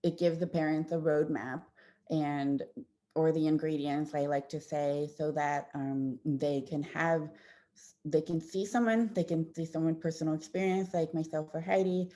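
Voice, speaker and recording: A woman's voice: low-pitched, fairly even intonation, normal speed, mellow. A woman audiologist giving a conversational presentation to parents, explaining with everyday examples and frequent fillers and restarts. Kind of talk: informal presentation with slides.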